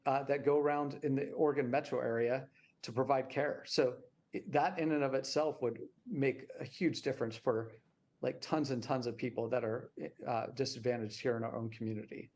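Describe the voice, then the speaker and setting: A man's voice: mid-range voice, fairly even intonation, moderate pace, a little mellow. An American man speaking as a nonprofit representative, explaining the work in an interview.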